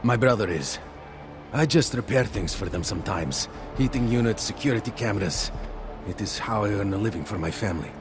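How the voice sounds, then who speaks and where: A man's voice: fairly low pitch, over music, natural voice. An actor playing a tired, nervous augmented repairman who halts and fumbles for words in a video game cutscene. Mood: weary. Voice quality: halting, weary, fumbling for words.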